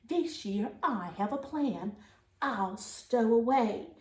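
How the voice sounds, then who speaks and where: A stubborn little storybook girl, voiced by a woman reading aloud. She is sniffy and bossy, with a high, emphatic delivery in an echoey room. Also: expressive, slow pace. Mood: determined.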